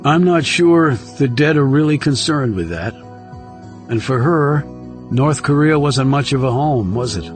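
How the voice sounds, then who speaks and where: A man voicing a reserved, low-key male government operative in an audiobook thriller. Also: lively intonation, measured pace, faint music behind, close to an everyday voice. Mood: subdued, reflective. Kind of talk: dramatic audiobook dialogue.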